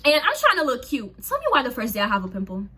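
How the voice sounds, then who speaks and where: A young woman from Haiti whose first languages were Creole and French, chatting casually to camera in an expressive, slangy way. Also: a little high, fast talker, slightly rough. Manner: chatty and expressive, slangy, lots of fillers and exclamations. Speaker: vlogger. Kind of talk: casual storytime chat to camera.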